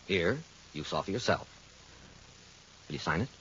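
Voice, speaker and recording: A man's voice: sits low, expressive, normal speed, fairly bright tone, a little hiss or hum. An actor playing a persuasive male insurance adjuster in a 1940s radio drama, with a dull old radio sound.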